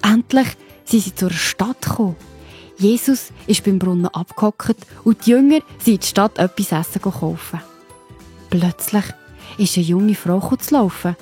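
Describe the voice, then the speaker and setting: Mellow, husky Swiss German woman storyteller. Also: medium pitch, moderate pace, faint music behind. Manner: simple, explanatory, addressing young listeners directly. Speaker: narrator. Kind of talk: scripted storytelling for children.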